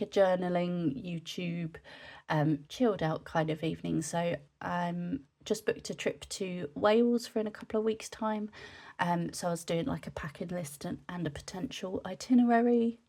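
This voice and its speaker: A young woman from the UK, a scrapbooking vlogger narrating casually, her voice nasal from a cold, chatting in a relaxed way with frequent ums. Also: fairly low pitch, unhurried. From United Kingdom.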